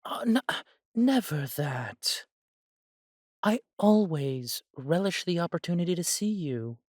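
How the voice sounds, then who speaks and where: A man playing a warm, humble elf brother in a scripted fantasy audio roleplay, speaking in measured, slightly formal phrases. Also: on the high side, expressive, no room sound, studio quality. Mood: fond, teasing. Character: warm, humble elf brother without magic, devoted to family.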